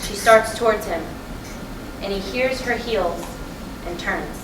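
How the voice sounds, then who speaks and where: A woman's voice: medium pitch, slow. A woman reading stage directions as scripted narration at a staged play reading. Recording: distant mic in echoey, noisy room.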